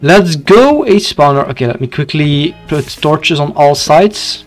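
A man's voice: a little high, expressive, unhurried, faint music behind. A young man from Belgium with a Dutch accent, casually commenting on a game for his live chat and often tripping over his words. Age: young adult. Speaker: video game livestreamer.

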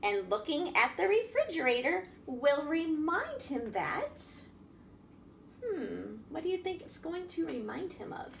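Very high-pitched, sing-song woman reading to children. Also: a little slow, gravelly. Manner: expressive, sing-song, addressing young children with questions. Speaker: children's librarian, storytime host. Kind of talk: picture-book read-aloud for young children. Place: indoor room at a wooden table by a window. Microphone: camera across the table. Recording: echoey room with background noise.

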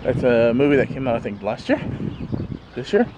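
A man's voice: medium pitch, sing-song, moderate pace, muffled recording. Man, 30s, casual vlog, handheld camera at arm's length, outdoors, chuckling, rambling. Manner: rambling, many fillers, chuckles often. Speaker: vlogger. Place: outdoors in a sunny backyard. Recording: faint outdoor background noise.

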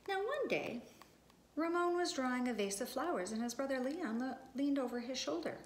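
Woman reading aloud in an echoey room. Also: sits high. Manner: expressive, deliberate, addressing children directly. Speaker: preschool teacher. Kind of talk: read-aloud storytelling to young children. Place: empty classroom. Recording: echoey room with background noise.

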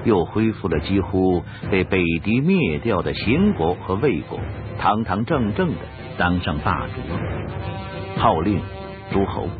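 A male narrator with a fairly low voice tells a historical tale in lively, dramatic scripted style. The recording is dull and lo-fi, with clear music behind him.

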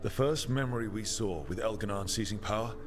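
Fantasy game leader male actor, calm, decisive, lowish voice, clear music bed. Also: brisk, lightly acted. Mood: measured.

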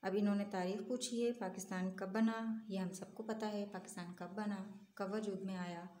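A woman's voice: medium pitch, steady tone, normal speed, dark tone. A woman teaching young children, patiently asking questions and answering them herself. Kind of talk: online lesson for young children. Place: room at a desk, voice over an open textbook. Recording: echoey room with faint ambience.

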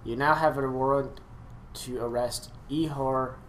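A man hosting a casual let's-play, reading game text aloud and commenting excitably. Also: mid-range voice, a little slow, a little hiss or hum. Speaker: host of a gaming video.

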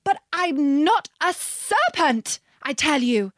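Little girl, played by a woman, storybook heroine, high voice, slow delivery, earnest, plaintive, bewildered, polite. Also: very animated, no room sound, clean studio sound, exaggerated voice. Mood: indignant. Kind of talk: storybook character reading.

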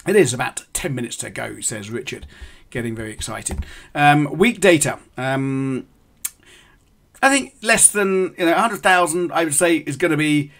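A middle-aged man gives live commentary on currency markets to his stream viewers. He explains things step by step, pausing often on ums and starting sentences over. He sounds like he is in a small indoor room, speaking into a desk microphone. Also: on the high side, expressive, a bit bright. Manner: explanatory, halting with many ums and restarts. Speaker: forex trading host.

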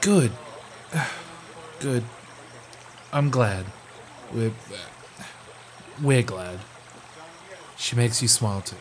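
A man's voice: medium pitch, very slow, pauses often, a little hiss or hum. A man playing a scrappy, earnest young brother in a roleplay audio, a little awkward and hesitant. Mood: earnest, indignant. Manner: hesitant, repeating himself.

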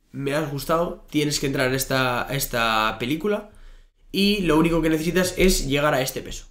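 A young man, a native Spanish speaker, talking as a podcast guest across a desk, telling stories with many fillers and restarts. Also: medium pitch. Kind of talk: casual interview talk. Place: small office studio. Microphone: desk microphone. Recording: echoey room.

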